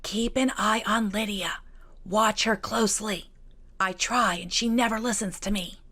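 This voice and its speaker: A woman's voice: mid-range voice, fairly even intonation, a little slow, pushed and bright, pitched above the performer's own voice. A woman voicing an eager, earnest teenage younger sister in a Regency audiobook. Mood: urgent, hushed. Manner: jubilant, earnest, confiding. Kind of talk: audiobook character dialogue.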